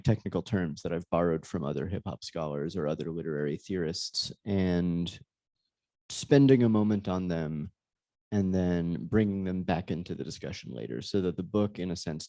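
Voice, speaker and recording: A man speaking as a guest author in a live book discussion, thoughtful and halting as he searches for his words. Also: low voice, gravelly, dark tone, no room sound.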